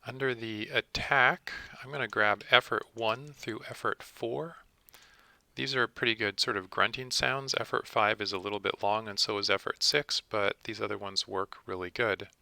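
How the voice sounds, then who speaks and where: A man presenting a software tutorial, explaining each step methodically in a close-miked voice-over. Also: fairly low pitch, fairly even intonation, normal speed, gravelly. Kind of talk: step-by-step screen tutorial narration.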